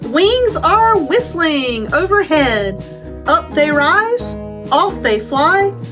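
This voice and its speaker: A woman with a high voice hosts a storytime for young children. She takes her time, with an unhurried pace, as she presents and reads aloud. Her words are simple and aimed straight at the children, inviting them along. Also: very animated, music in the background. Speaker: children's librarian hosting storytime.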